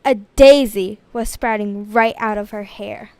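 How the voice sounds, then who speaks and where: A woman reading a rhyming children's story aloud in an expressive storytelling style. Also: medium pitch, unhurried, clean tone, no room sound. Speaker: storybook reader.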